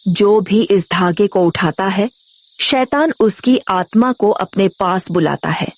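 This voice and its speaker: A woman voicing a village grandmother in a horror cartoon, grave and fearful. She gives her warnings slowly, at a middle pitch, in a dry, close recording. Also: no room sound. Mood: grave, alarmed. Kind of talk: animated horror story dialogue.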